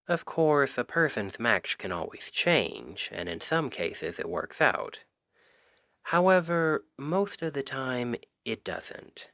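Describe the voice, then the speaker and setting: A man's voice: fairly low pitch, pushed and bright, no echo, studio quality, exaggerated voice. A man playing an exasperated, secretly smitten young Cupid best friend in a conversational, emphatic ASMR roleplay. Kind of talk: ASMR roleplay audio drama, one-sided conversation.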